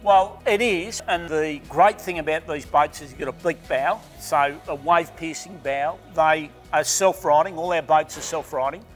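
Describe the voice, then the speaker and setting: A middle-aged Australian man talks eagerly about his boat factory, his voice a touch higher than most men's. He stumbles and restarts often, and quiet music runs beneath the interview. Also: normal speed, few pauses. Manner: enthusiastic, rambling with restarts and "you know". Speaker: boatbuilding company owner. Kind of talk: interview answers. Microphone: lapel mic. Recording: faint background music.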